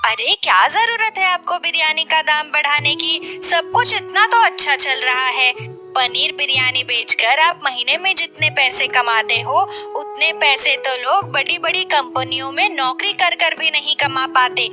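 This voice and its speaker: A woman playing a sensible, honest village wife in a cartoon story, speaking in an expressive, emphatic way. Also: sits high, measured pace, soft background music, full character voice. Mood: concerned, reproachful. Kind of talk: Hindi cartoon story voice acting.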